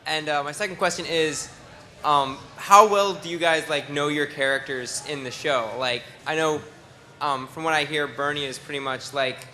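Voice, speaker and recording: Guy in the audience at a q&a, slightly high bright voice, stumbles through his question. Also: fairly even intonation. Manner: hesitant, halting. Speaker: audience member asking questions. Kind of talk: casual unscripted question at a panel.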